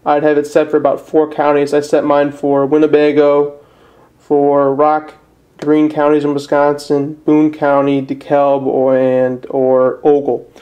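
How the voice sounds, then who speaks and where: A man's voice: fairly high pitch, monotone, a little slow, muffled recording. A young man with a Midwestern American accent giving a casual, somewhat hesitant step-by-step tutorial in plain words. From northern Illinois, USA. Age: young adult. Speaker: amateur how-to presenter. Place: indoors at a desk.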